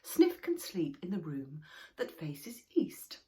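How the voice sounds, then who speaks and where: A woman voicing a gentle, practical mother troll creature from a children's storybook, breathy and soothing. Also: on the low side, wildly swooping pitch, takes it slowly, exaggerated voice. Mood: gently obliging.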